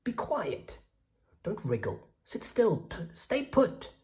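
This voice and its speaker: A woman's voice: mid-range voice, big pitch swings, takes it slowly, a little mellow, pitched above the performer's own voice. A woman voicing bossy, scolding snails stuck to a rock in a children's storybook.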